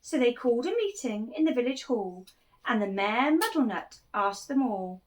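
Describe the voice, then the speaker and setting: A woman reading a rhyming children's picture book aloud, expressively and with deliberate dramatic emphasis. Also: fairly high pitch, big pitch swings, poor audio. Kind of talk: animated children's story reading, rhyming verse.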